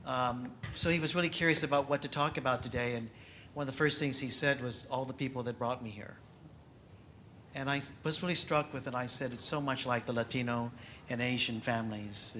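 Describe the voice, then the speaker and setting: A man moderating a session, introducing the next speaker in a soft, slightly hesitant way. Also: medium pitch, steady tone, a little fast, a little hiss or hum.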